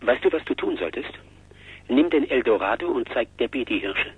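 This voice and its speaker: Tinny phone-line voice, breezy mobster's son. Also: male voice, mid-range voice, expressive, normal speed, breathy edge. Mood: brisk, evasive. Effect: telephone filter. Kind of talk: German radio drama dialogue. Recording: thin phone line, faint hiss.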